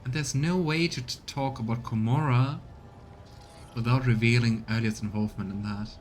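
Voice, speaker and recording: A man's voice: medium pitch, fairly even intonation, normal speed, a little hiss or hum. A man casually commentating on a video game, musing aloud and chatting with his viewers as he plays. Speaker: streamer doing a voiced let's play.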